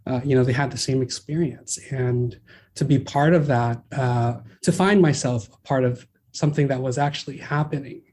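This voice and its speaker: A man's voice: medium pitch, moderate pace, darkish tone, a little hiss or hum. A man born in the Philippines, a guest in an interview, speaking casually and thoughtfully, with many fillers and restarts. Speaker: guest, brand founder.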